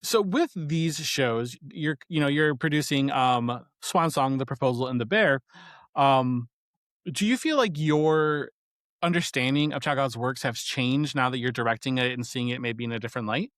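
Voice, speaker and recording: A man's voice: mid-range voice, normal speed, fairly bright tone, no room sound, studio quality. A man hosting a podcast interview, a psychologist by trade, asking questions in a friendly, affirming way. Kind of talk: casual interview. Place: podcast studio.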